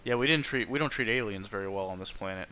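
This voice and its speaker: A man's voice: on the low side, quick pace, pushed and bright, slight background noise. A man giving casual commentary while playing through a video game. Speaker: game streamer.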